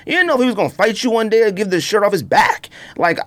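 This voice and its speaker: An American man hosting a podcast, chatting casually through a countdown in an animated, exclamatory, slangy way. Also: high-pitched, quick pace. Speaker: podcast host.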